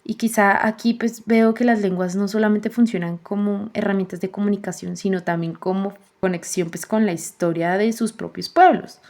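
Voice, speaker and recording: A woman's voice: mid-range voice, fairly even intonation, darkish tone. Earnest young woman reflecting as host. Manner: earnest, measured, many "pues" fillers. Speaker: podcast host and narrator. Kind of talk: reflective voice-over narration.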